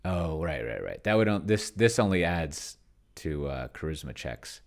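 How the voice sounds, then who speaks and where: A man hosting a solo tabletop roleplaying session, thinking aloud casually in a halting, musing way and often trailing off mid-thought. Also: very deep voice, sing-song.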